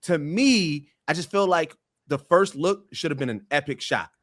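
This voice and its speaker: A man's voice: on the high side, lively intonation, normal speed, a bit bright, no echo, clean studio sound. A man speaking as a podcast panelist, explaining his opinions in an emphatic, colloquial way. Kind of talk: casual pop-culture discussion.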